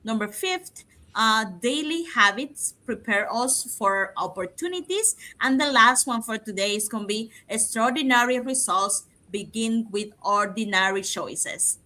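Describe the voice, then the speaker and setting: A woman's voice: on the high side, lively intonation, a little slow, pushed and bright, rough recording. A woman from Cuba, a nurse, introducing herself and reading out the discussion points upbeat with small fillers. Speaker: nurse, panel co-host.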